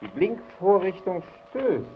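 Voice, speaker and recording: An actor playing an earnest, tense lighthouse engineer in an old radio drama, with a deliberate, self-coaxing delivery. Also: male voice, high-pitched, very animated, full character voice. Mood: brooding, concentrating. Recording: dull, noisy vintage radio recording.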